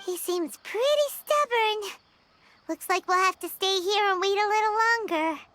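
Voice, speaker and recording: A woman voicing a tiny, childlike floating fairy companion in a video game, squeaky, excitable and prone to worry. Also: measured pace, no echo, exaggerated voice. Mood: pensive. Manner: exclamatory, refers to self by name. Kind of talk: video game character acting. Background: background music.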